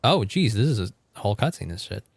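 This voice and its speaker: Low-voiced man rambling through a game stream. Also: very animated, quick pace, gravelly, husky, no echo. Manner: informal, rambling, mild swearing. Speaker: game livestreamer. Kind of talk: casual game-stream commentary, chatting with viewers.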